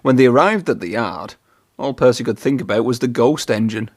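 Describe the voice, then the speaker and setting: A man reading a children's story aloud in an expressive narrating style. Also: medium pitch, brisk, no echo.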